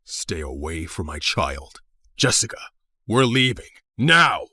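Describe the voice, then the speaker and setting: A man's voice: deep voice, sing-song, takes it slowly, pushed and bright, breathy edge, dry recording, pitched below the performer's own voice, exaggerated voice. A man voicing a huge, menacing criminal father who speaks sternly and commandingly. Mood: threatening.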